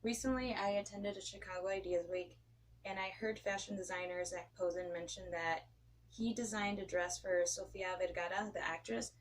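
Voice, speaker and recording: A woman's voice: medium pitch, fairly even intonation, normal speed, a little hiss or hum, poor audio. A woman hosting an interview, asking long, winding questions. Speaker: interview host.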